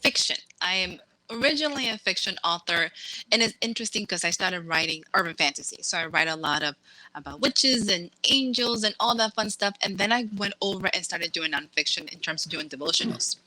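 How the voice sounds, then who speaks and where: A woman from Massachusetts, an author being interviewed, talking in a lively way and laughing as she acts out quotes. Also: fairly low pitch, brisk, no echo, low-quality recording. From Massachusetts, USA. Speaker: author guest. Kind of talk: casual interview chat.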